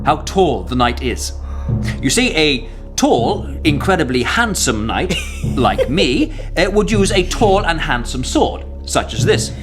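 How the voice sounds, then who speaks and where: Young crusader knight for radio drama: good-natured, boastful, lively slightly high voice, music behind. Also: male voice, measured pace. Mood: playfully instructive. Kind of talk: radio historical drama acting.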